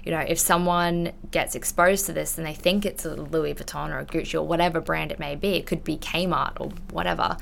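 A woman's voice: deep voice, steady tone, brisk, creaky, few pauses, a little hiss or hum. A woman in her twenties with an Australian accent, talking candidly and informally in a conversational interview. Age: 20s. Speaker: intellectual property investigator, podcast guest.

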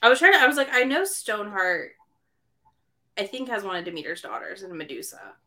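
Casting note: woman podcast host, bright, forceful tone, chatty and self-correcting. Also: medium pitch, very animated, brisk. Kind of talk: casual book discussion.

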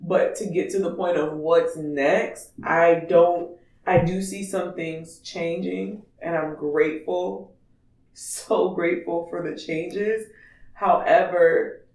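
A man with a raspy voice chatting candidly and emphatically as a podcast co-host. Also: high-pitched, steady tone, slow pace. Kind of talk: casual opinionated podcast chat. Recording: distant mic in echoey room.

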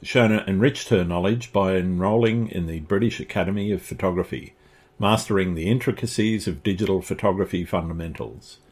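A man hosts an interview podcast in an Australian accent. His voice sits fairly low and has a husky grain to it. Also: darkish tone. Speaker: podcast host. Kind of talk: scripted introduction and interview chat.

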